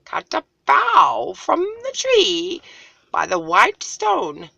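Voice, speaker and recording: Loud, stern, drawn-out great eagle. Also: female voice, very high voice, huge pitch swings, creaky, dry recording, muffled recording, voice pushed up, heavily acted. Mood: stern, commanding. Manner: slow, drawn-out, proclaiming delivery. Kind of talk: storybook read-aloud character voice.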